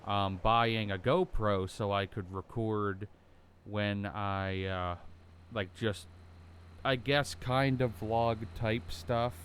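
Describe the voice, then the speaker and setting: A young American man with a bassy voice, rambling through casual commentary while playing a driving game, full of ums and likes. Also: fairly even intonation, slow, a bit bright, a little hiss or hum. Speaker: gaming YouTuber.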